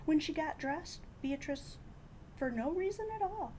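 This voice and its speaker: A woman with a fairly high, very lively voice reads a picture book aloud to children. She takes her time, shaping phrases with dramatic emphasis and hushed moments. A faint rumble sits behind her. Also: a little slow, rough recording. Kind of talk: expressive read-aloud storytelling.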